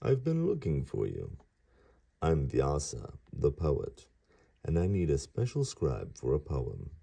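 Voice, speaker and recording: A man reading as a gentle, wise old poet in a storybook, his voice low and gravelly. He speaks slowly and calmly, with a husky, dark edge. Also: exaggerated voice. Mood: curious.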